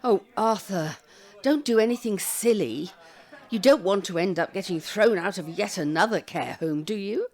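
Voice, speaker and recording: A British actress playing a fussy, chirpy elderly care-home resident in an audio drama, brisk and theatrical. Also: female voice, medium pitch, animated, darkish tone, natural voice. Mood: fussy, exasperated.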